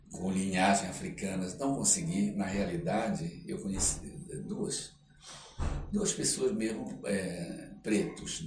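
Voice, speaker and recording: An older man with a Brazilian accent tells anecdotes conversationally, acting out dialogue and checking in with short tag questions. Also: low voice. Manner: acts out quoted dialogue, frequent tag questions. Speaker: podcast guest, theatre artist and writer. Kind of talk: animated anecdotal storytelling in casual conversation. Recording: roomy echo, distant mic, faint noise.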